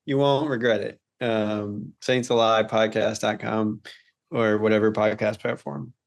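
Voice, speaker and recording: A man's voice: lowish voice, fairly even intonation, a little slow, gravelly. A man talking casually as a podcast co-host, earnest and informal, often repeating words as he goes. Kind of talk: casual conversational talk.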